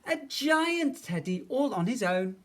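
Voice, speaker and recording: A woman voicing a small, shy, excitable little boy in a children's storybook, with a squeaky, childlike voice. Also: unhurried. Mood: excited, alarmed. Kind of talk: children's storybook read-aloud.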